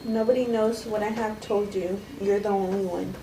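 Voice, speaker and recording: A woman's voice: medium pitch, normal speed, close to an everyday voice. A woman reading as a distant, subdued wife. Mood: guarded. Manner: flat, unpolished amateur reading. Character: subdued, guarded wife, quietly resolute. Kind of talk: cold table read of a film script. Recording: roomy, distant mic, clear background noise.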